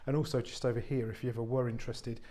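A man, an estate agent giving an unscripted walk-through tour of a warehouse flat, chatty and full of fillers and restarts. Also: mid-range voice, rapid-fire, a little hiss or hum. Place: large warehouse flat, indoors. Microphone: handheld phone camera, speaker off screen.

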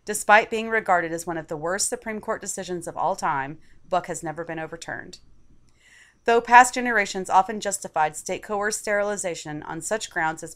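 A woman reading a scripted opinion article aloud in a measured, articulate way. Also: medium pitch. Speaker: article reader.

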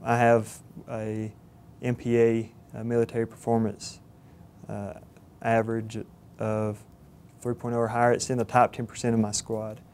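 A young man in his twenties with a Southern Georgia accent, earnest and halting with fillers, speaking in an interview. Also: medium pitch, monotone, a little mellow, a little hiss or hum. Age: 20s. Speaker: cadet candidate. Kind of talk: interview testimonial. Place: studio with plain backdrop. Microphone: lapel mic.

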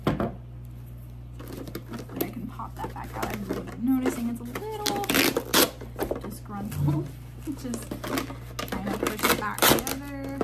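A woman's voice: high-pitched, very animated, a little slow, long pauses, very noisy. A young woman in her twenties chatting casually as she shows homemaking projects, upbeat with frequent ums, filmed on a phone at arm's length at home. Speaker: homemaker vlogger. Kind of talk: casual how-to chat. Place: home kitchen and outdoors in snow.

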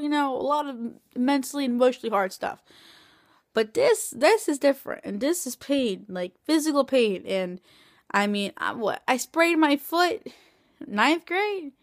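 Halting young woman recovering from surgery. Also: fairly high pitch, no echo, studio quality. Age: 20s. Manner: rambling, halting, many restarts. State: tired and in pain after surgery. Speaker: vlogger. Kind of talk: casual video diary. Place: small room indoors at night. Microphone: phone camera held close.

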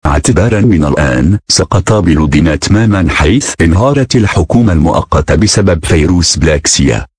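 A man's voice: low voice, animated, racing, a little mellow, husky, no room sound. A man reading a scripted emergency announcement as a broadcast announcer, formal and synthetic-sounding.

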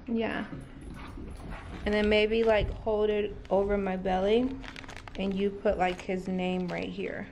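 Soft-spoken young woman in echoey room. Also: mid-range voice, level tone, slow, long pauses, slight background noise. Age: young adult. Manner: soft-spoken, trailing off, uses "like". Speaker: vlogger's wife. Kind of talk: casual conversation. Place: house indoors.

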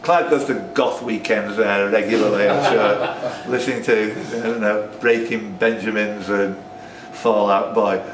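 A man's voice: on the low side, fairly even intonation, a little slow, few pauses. A man hosting a live pub quiz, reading out questions with chatty, joking asides, recorded at a distance. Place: indoors in a pub. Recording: echoey, noisy pub, distant microphone.

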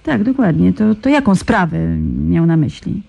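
An actress playing a persistent, self-assured investigative journalist in a radio drama, probing and ironic. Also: female voice, medium pitch, expressive, normal speed, vocal fry, mellow, slightly rough, heavily acted. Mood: calm. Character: persistent female investigative journalist, shrewd and cool. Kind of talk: 1990s radio drama acting.

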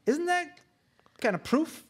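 A man's voice: very high voice, very animated, slow pace, exaggerated voice. An actor playing a nervous, flustered male radio host in a live comic radio drama, stammering and hesitant. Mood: exasperated.

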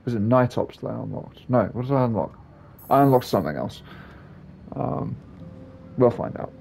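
A man's voice: medium pitch, lively intonation, normal speed, gravelly, a little mellow, slight background noise. A man giving casual, rambling game commentary as the host of a gaming video. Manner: rambling, offhand.

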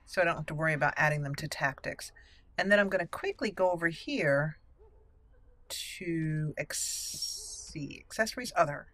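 A woman's voice: lowish voice, a bit bright. A woman hosting a gaming channel, giving casual, playful let's play commentary and talking to the characters. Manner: playful, talks to game characters.

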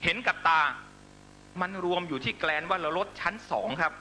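A man's voice: high-pitched, normal speed, bright, forceful tone, slight background noise. A man delivering a fiery political speech to supporters, accusatory and emphatic, constantly pressing listeners with rhetorical questions. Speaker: political rally speaker.

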